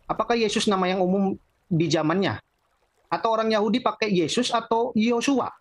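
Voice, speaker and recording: A man's voice: high-pitched, a little fast, no echo. A man in an online debate, asking probing, challenging questions.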